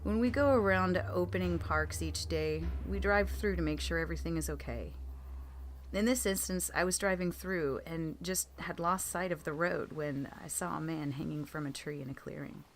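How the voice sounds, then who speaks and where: A woman's voice: sits low, normal speed, soft background music. A woman reading scripted true scary stories as a storytelling narrator.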